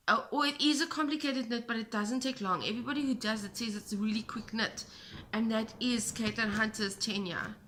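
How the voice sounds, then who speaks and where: Chatty South African woman, bright voice. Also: a little high. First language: Afrikaans. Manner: chatty, rambling, self-deprecating. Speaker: knitting podcast host. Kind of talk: casual chat to camera. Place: home, indoors. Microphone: camera at a short distance.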